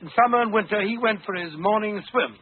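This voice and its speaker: An actor playing a genial, grumbling older English doctor in old-time radio style. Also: male voice, high-pitched, quick pace. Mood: genial storytelling. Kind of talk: 1940s radio acting, storytelling. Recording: tinny, noisy old radio recording.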